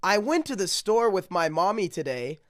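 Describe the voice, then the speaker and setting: A person reading picture books aloud in a theatrical way, dropping into muttered deadpan asides. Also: mid-range voice, moderate pace. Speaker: storybook reader.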